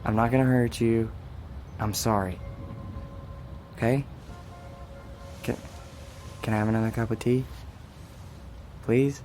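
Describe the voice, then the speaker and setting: An actor playing a gentle, puzzled young man in a radio drama, speaking earnestly with a hesitant stammer. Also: medium pitch, flat delivery, slow pace, long pauses, soft background music, close to an everyday voice. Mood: gentle, apologetic. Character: gentle, puzzled man coaxing a frightened woman.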